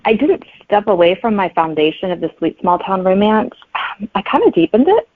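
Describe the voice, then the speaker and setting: A woman's voice: mid-range voice, sing-song, normal speed, dry recording. A woman answering casually as a guest author in an interview. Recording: thin, phone-like connection.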